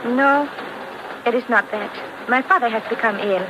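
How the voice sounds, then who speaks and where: Woman playing a high-voiced young lady. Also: moderate pace, natural voice. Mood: polite, friendly. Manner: polite, careful, formal phrasing. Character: polite young Portuguese woman traveling home by train. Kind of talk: 1950s radio drama acting. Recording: noisy old 1950s radio recording.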